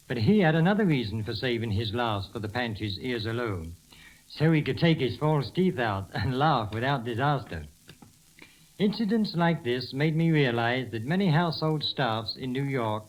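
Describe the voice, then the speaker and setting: A man's voice: mid-range voice, low-quality recording. A man speaking as a butler guest in a scripted 1930s radio talk, formal and deferential, on an old hissy recording.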